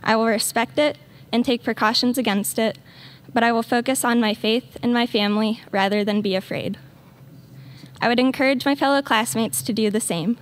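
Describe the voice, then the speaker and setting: A woman's voice: fairly high pitch, steady tone, normal speed. A teenage girl earnestly reading a graduation speech. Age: late teens. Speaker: student speaker.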